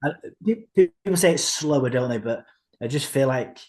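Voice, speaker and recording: A man's voice: mid-range voice, lively intonation, moderate pace. A man from North Wales, a podcast guest, talking in an easygoing way with hesitant restarts. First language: English. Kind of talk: casual chat.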